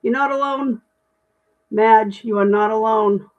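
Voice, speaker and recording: Middle-aged woman with a deep voice, casual and candid livestream host, thin phone-quality audio. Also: unhurried. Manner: chatty, self-deprecating, candid. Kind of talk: casual livestream chat reading comments.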